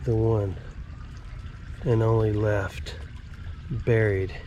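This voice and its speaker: A man's voice: lowish voice, level tone, drawn-out words, mellow, dull audio, a little hiss or hum. A man with a Southern accent and a stuffed-up nose, narrating casually and rambling in a folksy, self-amused way while wading through a creek. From Alabama. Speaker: creek explorer vlogger. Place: outdoors wading in a city creek.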